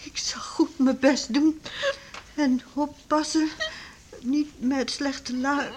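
Anxious, tender mother, high voice. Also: fairly even intonation, slow pace. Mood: tearful, tender. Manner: fretful, soothing, pleading. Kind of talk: radio drama acting. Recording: hissy old radio play recording.

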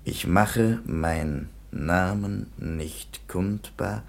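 An actor playing a matter-of-fact police commissioner in a radio play, speaking in a deliberate, pedantic manner. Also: male voice, deep voice, creaky, full character voice. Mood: slow reading aloud. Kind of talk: German radio play acting.

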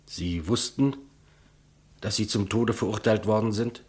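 Man playing a low-voiced, polite old executioner. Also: unhurried, a little mellow, lightly acted. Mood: calm, polite. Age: older. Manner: deferential, plain, businesslike. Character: elderly male state executioner, polite, clumsy, matter-of-fact. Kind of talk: 1950s German radio play acting.

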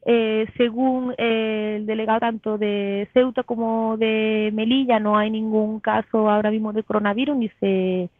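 A woman's voice: fairly high pitch, level tone, unhurried, few pauses, no echo. A woman answering as an interview guest over a call. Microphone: phone or online call. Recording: tinny narrow phone-like line.

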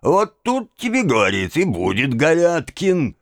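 A stubborn, tipsy manservant for a classic-novel audiobook. He drawls slowly with wide swings of pitch, evasive and insolent, circling back over his words. The recording is dry. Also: huge pitch swings, no echo, exaggerated voice. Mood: sullen, rambling. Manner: drawling, repetitive, obstinate.

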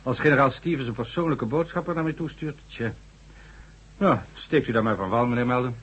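An actor playing a formal, dismissive government minister in a radio drama, with a condescending, authoritative manner. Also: male voice, mid-range voice, fairly quick, fairly bright tone, slightly rough, dull audio. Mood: sardonic impatience. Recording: noisy, humming old radio recording.

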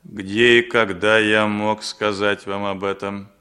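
A man's voice: on the low side, level tone, takes it slowly, sharp tone, clean tone. An actor playing a proud, guarded Soviet man in radio drama, speaking formally and precisely with indignation. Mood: indignant, uneasy. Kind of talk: 1960s radio drama acting.

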